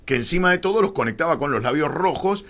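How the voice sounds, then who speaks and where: A man's voice: on the high side, lively intonation, quick pace, fairly bright tone. A middle-aged man with a Rioplatense Argentine accent, explaining his views as a radio interview guest in an opinionated, irreverent, emphatic way.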